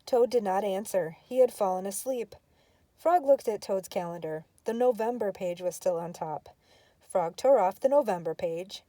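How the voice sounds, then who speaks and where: Older female reader, grandmotherly storybook narration, soft dark tone, close and dry recording. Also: medium pitch, no echo. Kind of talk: children's storybook read-aloud.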